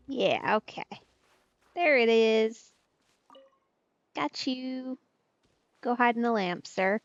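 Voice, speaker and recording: A woman's voice: on the high side, no echo. A woman giving casual let's play commentary, musing to herself in loose asides as she plays. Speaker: streamer, let's play host. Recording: slightly dull, dry close recording.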